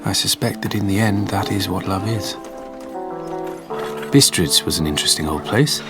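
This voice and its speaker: An actor playing an earnest, devoted young Victorian traveller, narrating his diary in a measured, formal way for an audio drama. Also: on the low side, natural voice. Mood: tender, reflective. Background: music.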